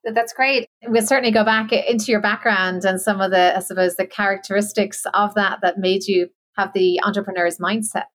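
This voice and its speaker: A woman hosts a podcast with a mid-range voice. She reads a formal introduction and then asks her questions in a polite, measured way. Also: medium pitch, fairly even intonation. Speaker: podcast host. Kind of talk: scripted intro and interview questions.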